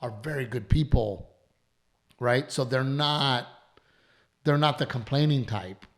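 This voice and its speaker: A man's voice: mid-range voice, measured pace, creaky. A man, hosting a casual podcast and rambling in a worn, strained voice, repeating himself and swearing casually. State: voice worn from allergies and shows. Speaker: comedian and podcast host.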